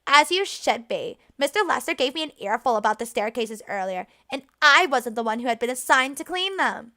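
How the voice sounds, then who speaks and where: Woman acting a haughty, mocking older maid, high and theatrical. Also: expressive, bright, forceful tone. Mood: scolding. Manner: drawn-out, mocking, theatrical scolding. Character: haughty older female maid, smug cruel bully.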